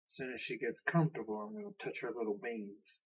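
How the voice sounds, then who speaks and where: Husky Southern man, profane and blunt. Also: medium pitch, sing-song, fast talker, low-quality recording. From Southern United States. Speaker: reaction video host. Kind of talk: casual ranting commentary.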